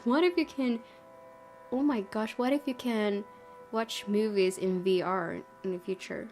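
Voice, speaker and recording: A woman's voice: medium pitch, a little slow. A woman hosting a let's play, commenting casually in a chatty, giggly, rambling way. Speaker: gaming video host. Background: soft game music.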